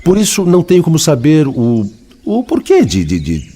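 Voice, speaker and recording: A man's voice: on the high side, wildly swooping pitch, normal speed, dark tone. A Brazilian man playing a troubled, weary male spirit, formerly a lawyer, with formal, eloquent, dramatic delivery in scripted dialogue. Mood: troubled, disillusioned.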